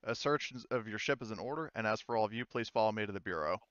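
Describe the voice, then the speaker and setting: Stern, formal police inspector played by a man for a tabletop game; low, clean voice, authoritative. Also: fast, a bit bright, smooth, no echo, muffled recording, natural voice. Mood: stern, official. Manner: formal, official phrasing.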